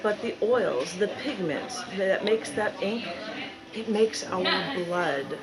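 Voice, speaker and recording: A woman giving a live spoken-word poetry reading in a bookstore, phrasing dramatically and deliberately with drawn-out emphasis. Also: medium pitch, animated, over a noisy crowd, rough recording. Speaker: poet reading own work.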